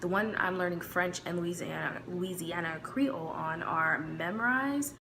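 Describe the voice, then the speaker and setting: A woman's voice: fairly low pitch, unhurried, noisy background. A woman with a Midwestern American accent, chatting casually to camera with plenty of fillers. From United States. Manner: relaxed, chatty, many fillers. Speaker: vlogger.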